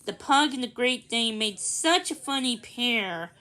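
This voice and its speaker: Female storybook reader, high-pitched voice, narrating for children with slightly halting delivery. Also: expressive, sharp tone.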